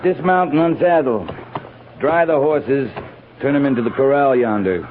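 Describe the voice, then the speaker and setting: A man's voice: mid-range voice, normal speed, natural voice. Old-time radio western captain male actor, commanding and curt, stern authority, narrow crackly sound. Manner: clipped, authoritative, gruff. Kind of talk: 1950s radio western acting. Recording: scratchy, narrow-band old radio recording.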